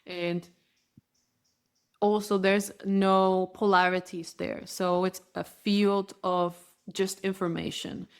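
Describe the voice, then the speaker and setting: Spanish-native woman explaining spiritual practice. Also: fairly low pitch. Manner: earnest, flowing explanation with frequent restarts. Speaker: spiritual guide, interview guest. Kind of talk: podcast interview.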